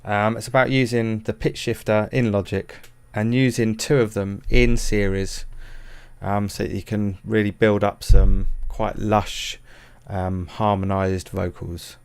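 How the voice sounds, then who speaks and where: A man with a fairly low, clean and resonant voice, recorded dry and close. He talks through a software tutorial casually, rambling and hesitating as he searches for words. His manner is informal and self-deprecating. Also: flat delivery, measured pace, clear, resonant tone, no room sound. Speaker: music production tutorial presenter.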